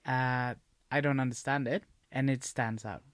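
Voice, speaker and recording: A woman with a strikingly low voice talks in an easy, unhurried manner and laughs often as a podcast guest. Her voice sounds close and dry. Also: steady tone, no echo. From Sweden. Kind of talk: casual conversational chat.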